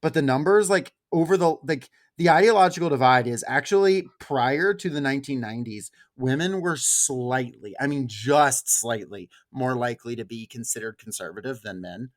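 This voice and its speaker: A man's voice: mid-range voice, lively intonation, moderate pace, hardly any pauses. A young American man talking casually as a podcast co-host, telling stories in an informal, rambling way.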